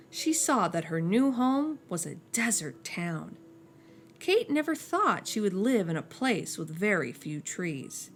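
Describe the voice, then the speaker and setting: A woman reading a children's picture book aloud as a storyteller, slowing down and dropping soft and low on each refrain.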